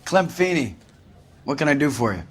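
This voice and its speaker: An actor playing a guarded, casual market vendor answering police questions in a crime video game. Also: male voice, on the high side, big pitch swings, moderate pace, fairly bright tone, husky, exaggerated voice. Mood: wary. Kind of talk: acted video game dialogue.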